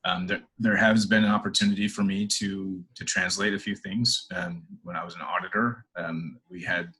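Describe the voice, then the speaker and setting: A man's voice: low voice, lively intonation, moderate pace, bright, forceful tone, breathy edge. A middle-aged man answering interview questions in a hesitant, casual way, full of fillers and restarts. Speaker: interview guest, corporate professional.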